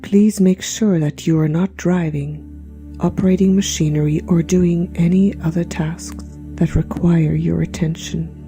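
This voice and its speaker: A woman narrating a guided meditation in a soft, breathy, half-whispered voice with a gentle, soothing, hypnotic manner. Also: fairly low pitch, steady tone, a little slow. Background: relaxation music.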